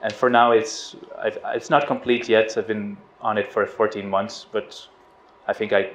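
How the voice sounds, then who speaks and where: A middle-aged man from the Netherlands giving an informal spiritual talk, relaxed and hesitant, with restarts and soft laughs. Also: fairly low pitch, moderate pace. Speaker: spiritual teacher. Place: outdoors on a river boat. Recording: roomy, dull lo-fi sound with faint noise.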